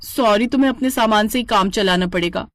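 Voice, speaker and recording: A woman's voice: medium pitch, fairly quick, fairly bright tone, no room sound, lightly acted. A woman voicing a vain, jealous and greedy young daughter-in-law in an exclaiming, self-satisfied way, in a storybook tale. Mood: evasive.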